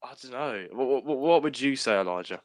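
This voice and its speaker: A man co-hosting a casual podcast, stammering and restarting often, with a sore throat he keeps clearing. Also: medium pitch, expressive, fairly quick, no echo, clean studio sound. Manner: stammering, restarts often, laughs while talking. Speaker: podcast co-host. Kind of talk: casual chat. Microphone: podcast microphone.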